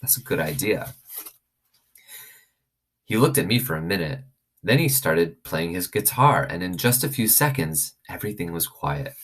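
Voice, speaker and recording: A man reading a children's novel aloud as an expressive storyteller. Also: low voice, moderate pace.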